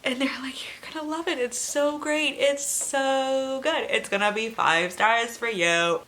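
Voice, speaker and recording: A woman's voice: high voice, measured pace, bright, forceful tone. A woman hosting a casual book vlog, talking informally and emphatically with plenty of swearing. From Canada. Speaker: book reviewer, video host.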